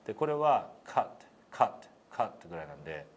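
A man's voice: fairly low pitch, sing-song, fast talker. A man giving a one-on-one English pronunciation lesson in an encouraging way, full of praise, demonstrating sounds. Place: meeting room, indoors. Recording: noisy meeting-room recording.

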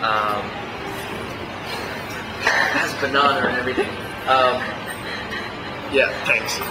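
A man's voice: medium pitch, fairly even intonation, slow pace, very noisy. Young guy vlogging, wry and fed up, lots of ums, camera mic a bit far off. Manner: rambling, wry and exasperated, with many fillers. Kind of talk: casual travel vlog. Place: outdoors, in a car and in a large empty eatery. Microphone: handheld camera held at arm's length.

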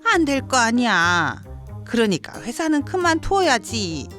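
A woman's voice: a little high, very animated, unhurried, fairly bright tone. A woman playing an entitled, sick older mother-in-law, groaning and nagging in a dramatized story video. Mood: demanding, irritated. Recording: music behind the voice.

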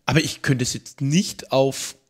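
A man's voice: medium pitch, fairly even intonation, unhurried, husky. A man from Franconia co-hosting a casual podcast with enthusiastic, eager interjections. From Franconia, Germany. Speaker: podcast co-host. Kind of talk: casual chat.

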